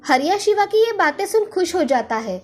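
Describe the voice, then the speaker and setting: A woman storyteller narrates a children's tale in a high voice. Soft music plays faintly behind her scripted reading. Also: big pitch swings, moderate pace.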